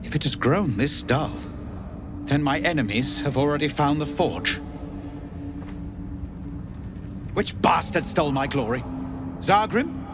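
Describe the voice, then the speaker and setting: A man's voice: a little high, lively intonation, moderate pace, music in the background. A man playing a pompous, scheming drow wizard in a video game, theatrical and haughty but given to obsequiousness. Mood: agitated, suspicious. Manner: theatrical, haughty, stammering when deferential.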